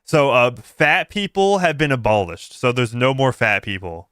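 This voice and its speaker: A man hosting a casual livestream, reacting aloud in a halting way, repeating words and breaking off mid-sentence. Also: medium pitch, a bit bright, clear, resonant tone. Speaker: livestream host. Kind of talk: casual reaction stream commentary.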